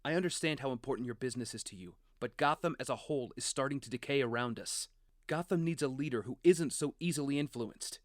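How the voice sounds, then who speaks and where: A man's voice: mid-range voice, fast talker, dry recording, studio quality, close to an everyday voice. A man playing a principled, defensive father in an audio drama, earnest and firm as he reasons.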